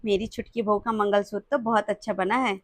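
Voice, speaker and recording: A woman voicing a domineering older mother-in-law in a family drama skit, cutting and loud when she scolds. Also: mid-range voice, level tone, a little fast, natural voice. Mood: scolding.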